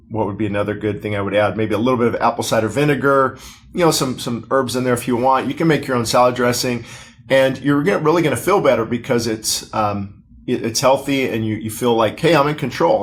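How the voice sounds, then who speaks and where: A middle-aged man, a pastor, reading his own book aloud into a podcast microphone in a small studio, with casual asides and frequent fillers and restarts. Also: medium pitch. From Southern California. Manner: conversational, frequent fillers and self-corrections. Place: small studio with posters on the wall. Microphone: desk-mounted podcast microphone.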